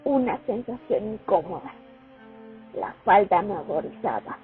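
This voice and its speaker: A woman playing a war veteran who recalls her years at the front in an expressive radio play monologue. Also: medium pitch, measured pace, lightly acted. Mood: nostalgic, moved. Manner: reminiscing, expressive, dramatic. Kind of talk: radio play monologue, first-person testimony. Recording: tinny phone-like sound over music. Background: music.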